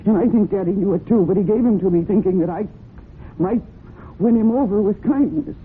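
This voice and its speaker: An actress playing a proud, protective young horse owner, guarded and firm, in an old-time radio drama. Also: female voice, mid-range voice, a little fast, natural voice. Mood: anguished, pleading. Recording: muffled, noisy old radio broadcast.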